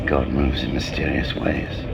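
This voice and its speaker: An actor playing a worried ship's doctor with a grave, earnest way of talking. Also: male voice, rumbling low, little pitch movement, unhurried, gravelly, dull audio, exaggerated voice. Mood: troubled. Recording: noisy ship ambience.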